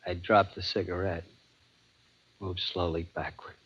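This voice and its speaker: A man's voice: sits low, little pitch movement, measured pace, a bit bright, breathy edge. A man narrating a suspense story in first person in old radio drama style, tense and grave. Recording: dull old radio recording with music.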